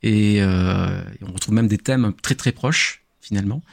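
A middle-aged man explaining his podcast casually, halting and often rephrasing as he goes. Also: fairly low pitch, creaky, no echo. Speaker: podcast host appearing as a guest. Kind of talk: casual talk explaining own show.

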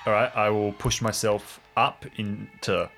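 A man's voice: fairly low pitch, measured pace, creaky, a little hiss or hum. A man playing in an improvised audio drama, chatting casually and jokingly in and out of character. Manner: joking, animated. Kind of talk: casual table chat and in-character play.

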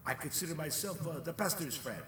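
An actor playing the devil as a polite, soft-spoken man who calls himself the pastor's friend, talking in a calm, measured, slightly preachy way. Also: fairly high pitch, far from the mic. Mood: mild. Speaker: self-styled friend of the pastor. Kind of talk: film drama acting. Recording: roomy sound with music score.

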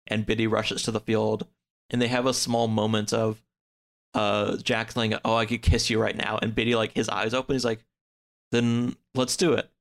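A man with a lowish, gravelly voice full of fry talks casually, as a podcast guest would. He rambles through a story, drops "like" in often, and slips into quoting the characters as he goes. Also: little pitch movement, moderate pace. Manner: rambling, peppered with "like"; acts out characters' lines in quotes. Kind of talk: casual chat, retelling a story.

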